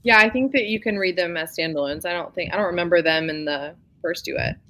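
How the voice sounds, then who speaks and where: A woman's voice: on the low side, a little fast, fairly bright tone, slight background noise. A woman chatting casually and informally about romance novels as a co-host of a book club show.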